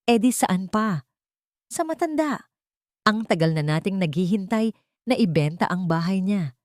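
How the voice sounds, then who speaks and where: A narrator woman plays a money-hungry daughter-in-law in an audio drama. She is urgent and demanding, pitched above the narration, with a dry, close sound. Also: expressive, measured pace, darkish tone, no echo, natural voice. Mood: scornful, impatient.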